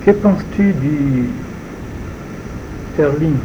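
Male interviewer, slightly high voice, informal and direct questions. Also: takes it slowly. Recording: dull lo-fi sound with background noise.

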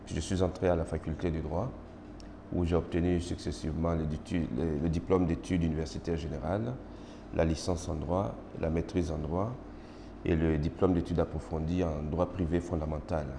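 A middle-aged man from Côte d'Ivoire with an Ivorian French accent, whose first language is Baoulé, answering formal interview questions in a measured way with many hesitations. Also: low voice, level tone, gravelly. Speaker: interviewee, magistrate. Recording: noisy roomy recording with long reverb.

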